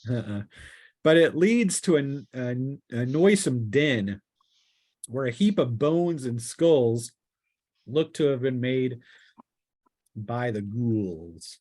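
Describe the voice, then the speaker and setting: Male game master for a tabletop fantasy session; mid-range voice, improvised narration, thinks aloud with halting pauses. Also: medium pitch, animated, a little mellow.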